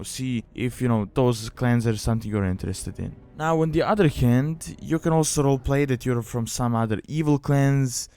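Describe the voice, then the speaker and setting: Smooth-voiced man giving a casual guide. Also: medium pitch, a little mellow. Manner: rambling, peppered with "you know" and "like". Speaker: guide host, gaming video maker. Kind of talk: casual explanatory tutorial voice-over.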